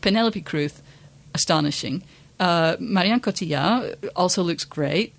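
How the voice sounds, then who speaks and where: A woman with a voice a little on the low side talks as a podcast co-host. She is informal and emphatic, with a wry edge, in a casual discussion of film. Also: unhurried, creaky.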